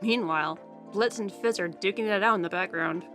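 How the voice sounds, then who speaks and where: A young woman delivers scripted recap commentary, sounding chatty and inquisitive. Her voice is mid-pitched, with music clearly playing behind it. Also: brisk, a bit bright. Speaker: co-host.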